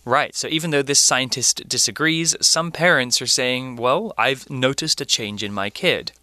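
A man co-hosting a lesson, explaining words in a clear, friendly way. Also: medium pitch, moderate pace, pushed and bright, dry recording. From Britain. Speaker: English teacher co-host. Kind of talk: radio English lesson.

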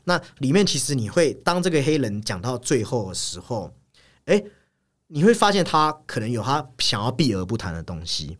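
A man co-hosting a podcast, casually discussing film in an analytical way and often repeating his agreement in quick strings. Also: mid-range voice, very animated. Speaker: podcast co-host. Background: background music.

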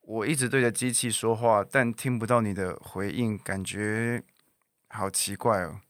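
Low, dry-voiced awkward student, man acting. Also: steady tone, fairly bright tone, smooth, no echo, lightly acted. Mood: shy longing. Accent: Taiwanese. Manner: halting, awkward. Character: shy, awkward, earnest lovesick male student. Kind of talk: audio drama dialogue.

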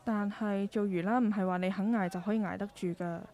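A woman's voice: mid-range voice, fairly even intonation, a little fast, warm tone, close to an everyday voice. A woman playing a caring, world-weary middle-aged mother who runs a market stall, reflective and concerned, in a Hong Kong Cantonese radio drama. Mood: concerned, resigned. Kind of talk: scripted radio drama dialogue.

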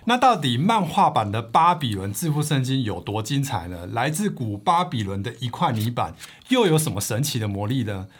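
A man's voice: medium pitch, big pitch swings, moderate pace, hardly any pauses, slightly rough. A man co-hosting a book podcast, reading scripted narration as a storyteller.

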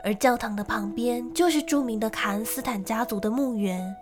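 An actress playing a lonely young gentlewoman who tells of a frightening childhood in an emotive radio drama style. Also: a little high, moderate pace, over music, lightly acted. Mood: wistful. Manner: storytelling, emotive.

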